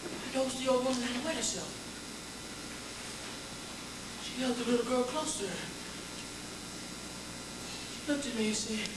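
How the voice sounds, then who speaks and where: A man acts a shaken witness giving a dramatic stage monologue. The voice is high, and the storytelling is vivid. It comes from far off in a very echoey space. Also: moderate pace. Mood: subdued, shaken. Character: shaken witness recounting township violence. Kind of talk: theatrical monologue. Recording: very echoey noisy hall, far off.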